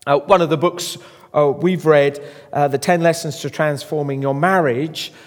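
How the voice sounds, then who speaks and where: Male presenter, fairly high voice, reverberant space. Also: fairly even intonation, moderate pace. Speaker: presenter of a marriage course. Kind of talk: presenting to an audience.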